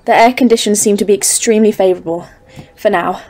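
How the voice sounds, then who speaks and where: A woman's voice: mid-range voice, fairly even intonation, fast talker, darkish tone, natural voice. A woman acting in an audio drama as a haunted expedition leader of thirty-four, grave and literary, foreboding in tone. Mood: worried, resolute. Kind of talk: scripted audio-drama narration and dialogue. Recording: clearly noisy, ambient background.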